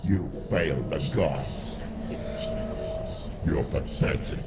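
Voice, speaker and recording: An actor playing a menacing, scornful demon in a video game, growling contemptuous commands at a warrior. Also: male voice, slow, loud music behind the voice. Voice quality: deep gravelly growl. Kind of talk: dramatic video game acting. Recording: loud rumbling noise behind.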